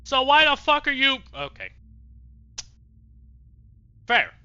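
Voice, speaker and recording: A man doing expressive, emotional gameplay commentary as a streamer, casual and sweary. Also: very high voice, slow, clean tone, dry recording. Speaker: let's play streamer. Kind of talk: gameplay commentary with reading aloud of dialogue choices. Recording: dull lo-fi mic, faint noise.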